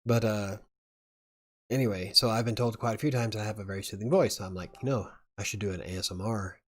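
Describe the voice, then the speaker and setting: Rambling low-voiced man chatting. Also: steady tone, fast talker. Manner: rambling, chuckling often. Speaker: livestream host. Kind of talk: casual live chat.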